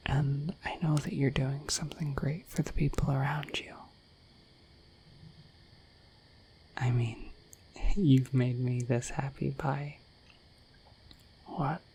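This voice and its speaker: A bedtime ASMR roleplay where a gentle, earnest dragon played by a woman whispers low and halting to a beloved human, with faint noise behind. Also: full character voice, rough recording. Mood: tender, admiring. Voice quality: soft, breathy whisper. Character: shy, dorky, affectionate female dragon, naive about humans.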